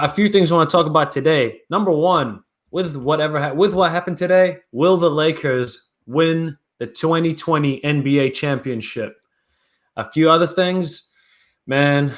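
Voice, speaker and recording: A young man co-hosting a sports podcast, talking basketball in loud, playful, animated banter. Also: medium pitch. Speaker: podcast co-host.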